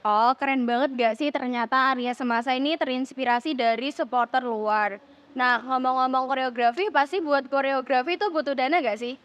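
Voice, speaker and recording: A woman's voice: sits high, fairly even intonation, normal speed, a bit bright. A teenage young woman, hosting a casual interview in chatty, slangy speech and addressing viewers. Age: teens. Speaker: student podcast host. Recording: dull lo-fi audio.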